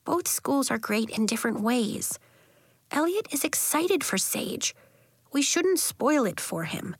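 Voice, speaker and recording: A woman voicing a gentle, sensible young girl in a children's audiobook, speaking softly and soothingly. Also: very high-pitched, expressive, measured pace, no echo, studio quality, pitched above the performer's own voice, heavily acted. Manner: soft, soothing.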